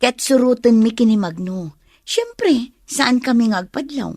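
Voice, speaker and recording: A woman's voice: fairly high pitch, lively intonation, measured pace, mellow, no room sound, clean studio sound. A woman with an Ilocano accent acting as a nosy friend turned sleuth in a radio drama. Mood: eager.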